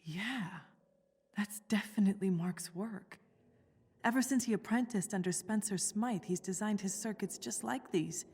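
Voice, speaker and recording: Worried young biochemist, reverberant sound. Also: female voice, medium pitch, a little slow, a little mellow, slightly rough. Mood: uneasy. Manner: candid, casual, thoughtful. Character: worried but resolute young female biochemist. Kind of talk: naturalistic video game voice acting.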